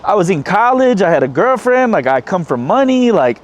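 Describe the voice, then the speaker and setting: A man's voice: sits high, sing-song, normal speed. A young American man, a former teacher hosting the talk, speaking earnestly and reflectively in casual slang. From United States. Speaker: podcast host, former teacher. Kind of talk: casual heartfelt conversation.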